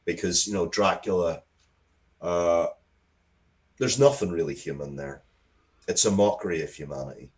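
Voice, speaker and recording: A man with a very low voice talks casually as a guest writer in an interview. He rambles in a conversational, blunt way, spinning out explanations of his own creative work. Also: moderate pace, pauses often, a little mellow. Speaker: interviewed writer of comics and stories.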